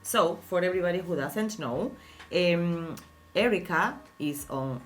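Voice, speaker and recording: Chatty woman podcast host, lowish voice. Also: lively intonation, unhurried, a little hiss or hum. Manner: chatty, warm-hearted encouragement, filler-heavy. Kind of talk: casual interview.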